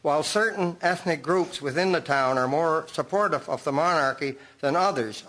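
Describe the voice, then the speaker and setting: A man playing a solemn, dignified small-town mayor in a radio drama, speaking formally and with measured delivery. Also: on the high side, a bit bright, low-quality recording. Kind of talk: amateur radio drama acting.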